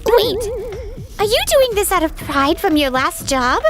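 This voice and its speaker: A woman's voice: extremely high pitch, very animated, a little slow, music in the background, heavily acted. A woman voicing an earnest, polite young businesswoman who speaks frankly and reasonably in a manga dub. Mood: doubtful, reasoning.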